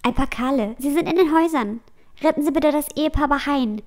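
A woman's voice: sits high, brisk, lightly acted. A woman playing a panicked, pleading talking speck of light in a fantasy audio drama. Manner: urgent, pleading.

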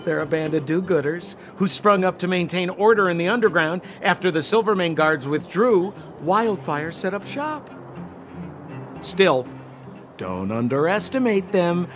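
A man's voice: sits high, animated, measured pace, faint music behind. A man voicing a shifty, glib young con man in a video game, theatrical and full of exaggerated salesman patter. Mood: persuasive, glib.

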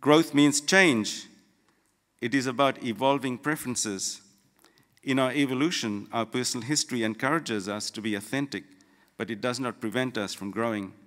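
Measured man speaking in a reverberant hall. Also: mid-range voice, fairly bright tone. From Fiji. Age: middle-aged. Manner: measured, deliberate, short phrases with pauses. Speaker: graduation guest speaker, lawyer. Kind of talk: prepared formal ceremony address, read from notes. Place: large auditorium on stage. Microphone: gooseneck podium microphone.

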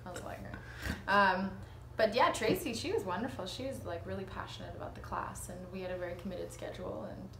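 A Canadian woman talks casually as an interview guest, with a mid-pitched voice. She is self-deprecating and a little halting. The living room is echoey, with faint ambient noise around her. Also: gravelly. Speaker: actress being interviewed.

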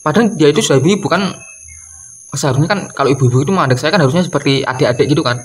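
A man, giving a casual comedic rant in a halting, repetitive, exasperated way. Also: on the high side, a little hiss or hum. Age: early 20s. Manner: exasperated, halting, repeats phrases, slips in English swearing. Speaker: video creator. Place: doorway at home by a wooden door. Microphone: camera or phone close in front.

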